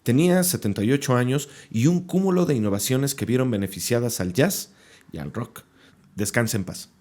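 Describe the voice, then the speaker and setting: A man's voice: medium pitch, expressive, moderate pace, a little mellow. A Mexican man reading scripted news briefs informally as a podcast host.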